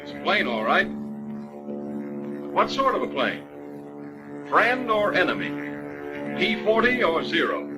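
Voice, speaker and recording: An American man narrates a 1940s military training film in a colloquial, urging style full of questions. His voice is a little high and swings animatedly. A loud musical score plays behind him. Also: low-quality recording. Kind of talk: 1940s dramatic film narration addressed to the pilot.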